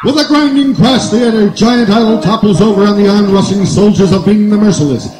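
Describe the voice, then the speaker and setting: A man's voice: high voice, brisk, very noisy. A man announcing and narrating in a declamatory old-time radio serial style. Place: outdoor night stage, distant camera. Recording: echoey outdoor stage with music.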